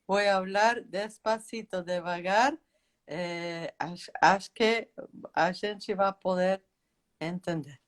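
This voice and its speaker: Slow, careful woman, native English speaker. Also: lowish voice. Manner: hesitant, careful, deliberate delivery. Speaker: guest, legal advisor. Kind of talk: introducing herself in a live interview.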